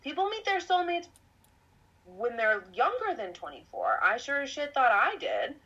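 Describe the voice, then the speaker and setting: A woman's voice: high-pitched, huge pitch swings, moderate pace, pushed and bright, slightly rough. Podcast host, woman, late twenties, rambly confessional chat on a slightly dull lo-fi track. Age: 20s. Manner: rambling, self-reflective, laughs often. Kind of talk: casual conversational storytelling.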